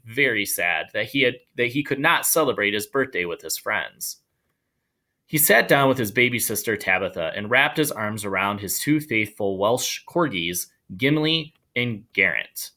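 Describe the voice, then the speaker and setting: A man reading a children's story aloud in an animated storytelling style. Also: fairly low pitch, gravelly. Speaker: storybook reader.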